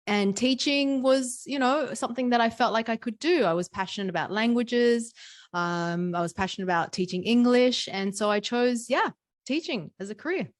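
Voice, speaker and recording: A woman's voice: a little high, normal speed. Aussie woman around 40s, guest on a podcast, easygoing storyteller, lots of "you know"s. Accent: Australian. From Australia. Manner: warm storytelling with frequent fillers. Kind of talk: conversational interview.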